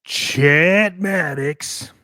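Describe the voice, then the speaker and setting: A man co-hosting a toy collectors' show, giving casual, playful promotional shout-outs like a pitchman. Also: very slow, no echo, studio quality.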